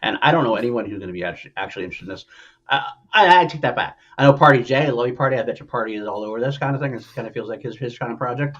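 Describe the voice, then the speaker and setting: A man's voice: mid-range voice, rapid-fire, highs cut off. A man hosting a casual, sweary movie podcast, speaking emphatically and opinionatedly and repeating himself for emphasis. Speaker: podcast host. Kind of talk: casual, profane movie news chat.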